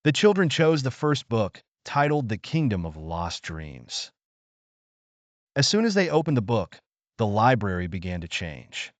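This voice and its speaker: A man's voice: lowish voice, sing-song, measured pace, no echo. A man narrating a scripted bedtime story for children.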